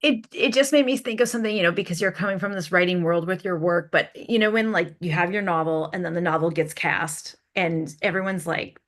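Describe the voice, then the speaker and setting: A woman talking casually as a podcast creator in a panel discussion, animated and given to telling small anecdotes. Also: lowish voice, fairly quick, hardly any pauses. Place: online video call.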